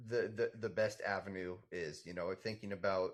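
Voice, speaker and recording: A man at a workshop asking a question, halting and repeating words as he goes. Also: low voice, steady tone.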